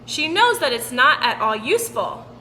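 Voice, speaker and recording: A woman reading a picture book aloud for children in an animated, sing-song storytelling manner. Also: sits high, normal speed. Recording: roomy long reverb with background noise.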